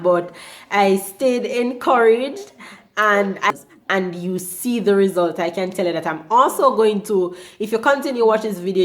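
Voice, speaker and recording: A young woman, hoarse from a cold, chatting casually in a home vlog about her diet, with many ums. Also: mid-range voice. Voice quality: slightly rusty voice. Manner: chatty, unhurried, frequent ums. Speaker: vlogger.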